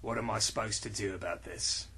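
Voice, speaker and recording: Man doing a gruff brooding lawman. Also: on the low side, fairly quick, pitched below the performer's own voice. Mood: gruff deadpan. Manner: deadpan, dragged-out reading of stilted lines. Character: gruff deep-voiced male lawman, brooding and deadpan. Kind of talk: comic reading of fan fiction dialogue.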